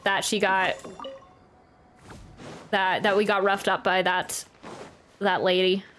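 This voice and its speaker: A woman's voice: mid-range voice, level tone, takes it slowly, fairly bright tone, soft background music. A woman giving casual gameplay commentary, chatty and rambling, often interrupting herself mid-sentence. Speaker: streamer reacting to a video game.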